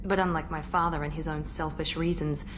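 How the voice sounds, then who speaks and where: An actress playing a composed female operative in a video game, with a crisp, controlled delivery. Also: deep voice, steady tone, brisk, slight background noise, lightly acted. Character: cool, composed female operative, loyal and precise.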